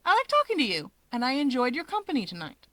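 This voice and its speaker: A woman's voice: sits high, wildly swooping pitch, fast, fairly bright tone, pitched above the performer's own voice, heavily acted. A woman voicing a shameless, teasing young man, a cocky younger brother in a fan story, talking emphatically. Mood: upbeat, sincere.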